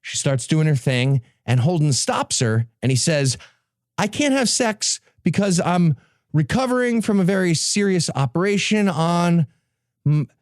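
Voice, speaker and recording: A man delivers a sardonic, emphatic comic summary as a podcast presenter. His voice runs a little high and swings widely in pitch, captured very dry. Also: very animated, a little slow, no echo.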